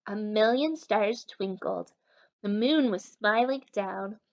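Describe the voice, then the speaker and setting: A woman reading a story aloud to young children as a teacher, animated and encouraging, talking directly to her listeners. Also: mid-range voice, slow pace, lo-fi sound. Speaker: children's story time reader and literacy teacher.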